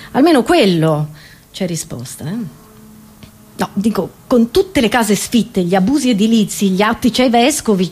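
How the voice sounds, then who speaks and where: A woman's voice: medium pitch, darkish tone. A woman comedian with an Italian accent delivers a satirical monologue as a radio host, conversational and ironic, tossing in rhetorical questions.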